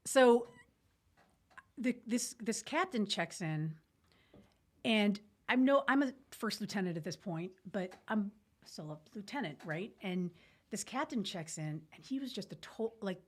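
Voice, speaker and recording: A woman's voice: mid-range voice, expressive, measured pace, slightly rough. A woman telling a story informally as a podcast guest, candid and colloquial, with military jargon.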